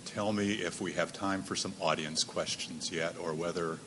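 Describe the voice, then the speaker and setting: A man moderating a conference panel, measured with a touch of dry humor. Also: deep voice, fairly even intonation. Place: conference hall. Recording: echoey hall with background noise.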